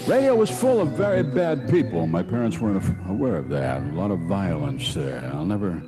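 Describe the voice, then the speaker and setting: A man playing a wistful, deadpan storyteller looking back on boyhood in comic old-time radio style, with folksy pauses. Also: deep voice, loud music behind the voice. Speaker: storyteller host of a radio show.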